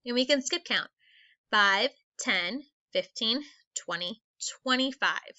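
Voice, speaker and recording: Bright, lively-pitched woman teacher. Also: slow pace, muffled recording. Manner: instructional, counting out step by step. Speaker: elementary school teacher. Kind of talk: read-aloud lesson for young children.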